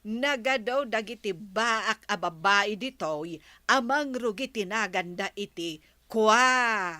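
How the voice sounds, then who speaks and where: A woman's voice: medium pitch, takes it slowly, pushed and bright, no room sound. An actress playing a curious, amused village woman who coaxes her friend along in an Ilocano radio comedy drama, with an Ilocano accent. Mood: coaxing.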